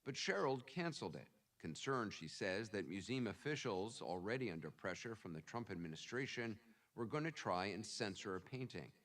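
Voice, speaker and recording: A man narrates a news feature in a measured, formal delivery. His voice sits low and sounds set back from the mic, as if played from a clip. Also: sing-song, a bit bright, recorded from a distance. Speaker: broadcast news reporter.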